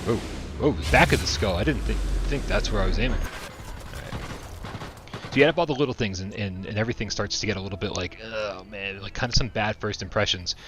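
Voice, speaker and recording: A man's voice: on the low side, brisk, noisy background. A man casually commenting on a VR shooter during a livestream, chatty and upbeat, laughing often and full of fillers. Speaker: VR game streamer.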